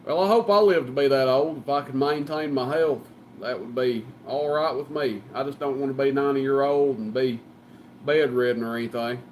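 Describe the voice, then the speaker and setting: A man's voice: medium pitch, normal speed, a little mellow, slight background noise, low-quality recording. A man with a Southern US accent chatting casually as a CB radio operator, folksy and joking. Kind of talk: casual banter over CB radio.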